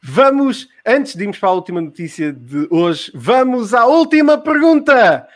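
High-pitched Portuguese man presenting comedy news. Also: very animated, moderate pace. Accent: European Portuguese. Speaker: host of a comedy news show.

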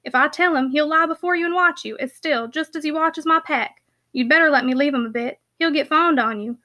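Lady doing a good-hearted chatty peddler guy from an old novel, earnest, bright high voice. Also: brisk. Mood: fond, persuasive. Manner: folksy, earnest, rambling. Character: plainspoken rustic packman, man, good-hearted, loyal, talkative. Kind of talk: audiobook character voice.

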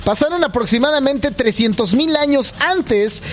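A man hosting a radio show, chatting in a jokey, slangy, animated way. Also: extremely high pitch, moderate pace. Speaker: radio host. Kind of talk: casual radio chat.

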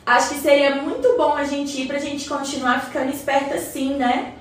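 A woman's voice: sits high, fairly even intonation, moderate pace, natural voice. A woman with a Brazilian accent acting a patient, knowledgeable community member in an educational radio play, explaining in a colloquial way. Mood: informative. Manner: didactic, colloquial. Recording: roomy echo, distant mic, faint noise.

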